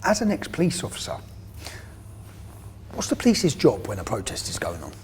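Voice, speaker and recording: A man's voice: mid-range voice, wildly swooping pitch, normal speed, slight background noise. A man presenting a documentary, narrating and interviewing in short, emphatic phrases.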